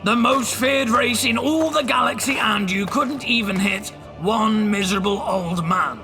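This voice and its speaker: Casting: defiant old man played by a male actor, very high voice, slow and scornful, goading delivery. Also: faint music behind. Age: older. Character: defiant old man taunting an armed enemy. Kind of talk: audio drama acting.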